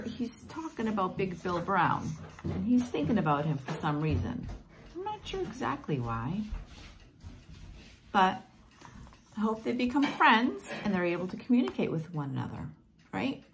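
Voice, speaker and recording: A woman whose first language is Portuguese reads picture books aloud to children in a gentle, encouraging, singsong way. She is filmed at home on a close webcam. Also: mid-range voice. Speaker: teacher reading picture books. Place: home room with plants, indoors. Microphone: webcam at close range.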